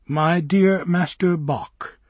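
A man's voice: on the high side, expressive, unhurried, slightly rough, no echo. A man voicing a prim, affected young society woman who speaks in genteel phrasing.

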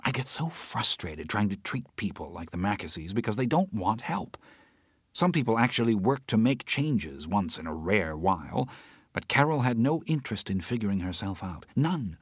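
A man's voice: on the low side, very animated, slightly rough, dry recording, studio quality, voice pushed up, heavily acted. A man voicing an indignant, outspoken woman. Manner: indignant, rapid sarcastic rants. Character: agitated outspoken adult woman friend. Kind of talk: audiobook character voice.

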